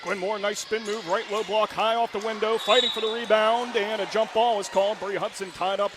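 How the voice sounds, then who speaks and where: A man calling live high school basketball play-by-play for radio from a gym, with quick, excited calls that rise to shouts on big plays. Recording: radio broadcast with loud gym noise.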